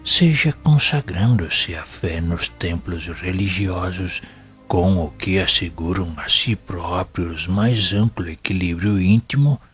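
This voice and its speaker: A man's voice: low voice, a bit bright. A man playing a wise, serene spirit minister in an audio drama, teaching in a formal and solemn manner. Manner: solemn, measured, formal, instructive. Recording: music playing behind the voice.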